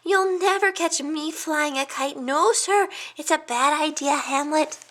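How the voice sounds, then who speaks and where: A woman doing a squeaky, fretful porcupine. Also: unhurried, a bit bright.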